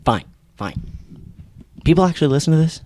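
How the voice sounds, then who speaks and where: Man doing a grumpy skeptic podcast guest, animated pitch, blunt, very dry room. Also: a little fast, no echo. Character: irritable, skeptical male podcast guest, shady and impatient. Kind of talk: mock podcast interview.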